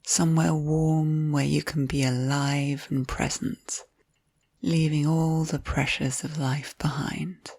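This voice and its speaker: A woman leads a guided visualisation in a low, soft voice with a creaky edge. She speaks very slowly and soothingly, giving gentle instructions and letting phrase endings turn breathy. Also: flat delivery, no echo. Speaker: guided meditation narrator. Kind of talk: guided visualisation, scripted narration.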